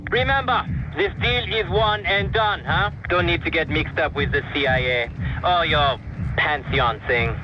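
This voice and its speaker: Muffled and noisy, as if overheard from afar, a cocky underworld arms dealer in a video game. His voice sits high for a man, casual and dismissive. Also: measured pace. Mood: smug, wary. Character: cocky, sleazy male arms dealer in a crime guild. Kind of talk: cinematic action game dialogue. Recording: muffled, noisy, distant eavesdropped sound.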